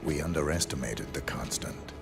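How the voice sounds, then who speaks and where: A man playing a stoic, terse and grave professional assassin in a video game, with a gravelly voice. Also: very deep voice, normal speed, over music. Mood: grave, restrained. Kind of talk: video game cutscene acting.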